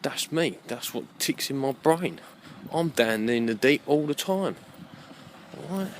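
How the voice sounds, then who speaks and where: A man's voice: mid-range voice, animated, measured pace. A man giving a rambling, unscripted, opinionated monologue to camera as a video blogger, often repeating himself and swearing freely. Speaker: video blogger with his own channel. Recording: clear background noise, rough amateur recording.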